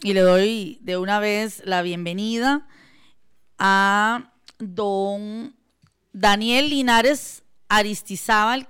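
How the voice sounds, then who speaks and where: A woman's voice: mid-range voice, fairly bright tone, clear, resonant tone. A woman with a Costa Rican accent hosting a radio talk show, speaking hesitantly with many fillers. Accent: Costa Rican Spanish.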